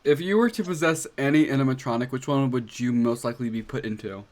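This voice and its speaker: A man hosting a casual fan podcast over an online call, earnest and easily excited. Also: mid-range voice, fast. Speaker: podcast host. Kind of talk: casual chat.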